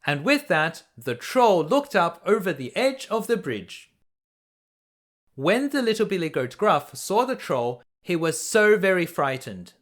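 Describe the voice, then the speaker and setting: Slow, expressive male storyteller. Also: sits high. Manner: expressive, deliberate. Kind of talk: reading a children's fairy tale aloud.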